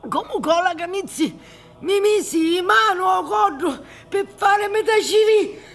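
Old Sicilian mother, bitter and anguished, radio play, creaky voice, slow halting delivery. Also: very high-pitched, full character voice. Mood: anguished, agitated. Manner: dramatic, halting, swinging between hushed whispers and cries. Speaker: actress. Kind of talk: radio drama acting. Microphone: studio microphone with headphones on a theatre stage.

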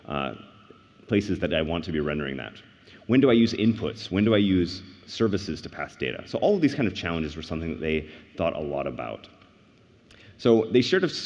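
A man's voice: low-pitched, darkish tone. A man in his thirties giving a tech conference keynote on a large stage, speaking earnestly and explaining step by step. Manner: earnest, explanatory, rises on key points. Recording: dull livestream audio in a reverberant hall.

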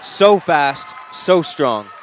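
A British man co-commentating an MMA bout, measured and analytical in his play-by-play. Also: fairly high pitch, lively intonation, some chatter behind, slight background noise. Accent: British English. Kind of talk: live fight commentary.

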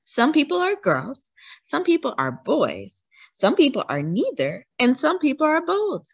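A woman with a husky voice reading a children's picture book aloud expressively, putting questions to young listeners. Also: high voice, wildly swooping pitch, a little slow, no echo. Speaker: read-aloud storyteller for children.

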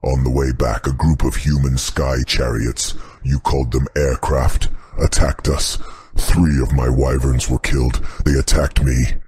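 A man voicing an imperious, vengeful demon king in a very deep, booming, slow and pompous audiobook performance. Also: little pitch movement, darkish tone, husky, no echo, exaggerated voice. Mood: angry. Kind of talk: audiobook character acting.

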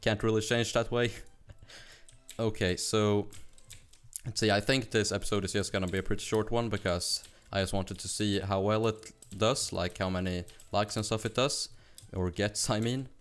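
Swedish guy talking fast over his game, lowish smooth voice, laughs a lot. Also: fairly even intonation. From Sweden. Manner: fast, rambling, laughs often. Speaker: gaming YouTuber. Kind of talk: casual gameplay commentary.

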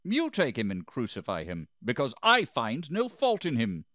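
Man acting commanding, exasperated Roman governor, animated pitch. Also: moderate pace, dry recording, clean studio sound. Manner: declamatory, questioning. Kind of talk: dramatised scripture reading.

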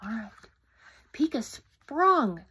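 Fifties woman reading a children's book to camera at home; slow, expressive, slightly husky. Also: on the high side. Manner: animated, with whispered asides. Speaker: storybook reader. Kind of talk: reading a picture book aloud. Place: home room indoors. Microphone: phone camera close up.

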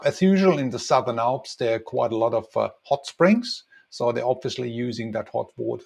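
A middle-aged man, a tour guide, talking relaxed through a road trip on a map with lots of ums and uhs, recorded at home on a webcam. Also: mid-range voice. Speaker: tour guide and travel designer. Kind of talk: casual map walkthrough narration.

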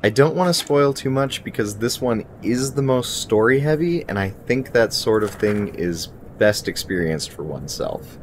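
A man's voice: medium pitch, lively intonation, moderate pace, hardly any pauses, slight background noise. A young man presenting a scripted video game review in an articulate, presentational way. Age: young adult. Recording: music behind the voice. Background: background music.

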